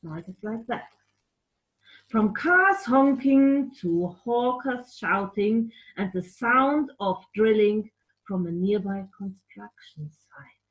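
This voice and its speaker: A woman telling a children's story in an animated, explanatory way, with playful asides to a puppet. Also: medium pitch, slow pace, dull audio. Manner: animated, explanatory, makes playful sound effects.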